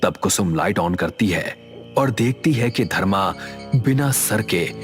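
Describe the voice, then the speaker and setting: A man's voice: low-pitched, lively intonation, unhurried, over music. A man narrating a scripted horror story.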